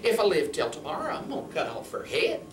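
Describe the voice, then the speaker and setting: A sleepy, cruel old man from a folk tale, played by a woman grumbles in a slow drawl. The pitch is raised, and the recording is roomy with faint noise. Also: sing-song. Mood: grumbling, threatening. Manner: slow, drawn-out complaining. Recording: roomy, distant, noisy live recording.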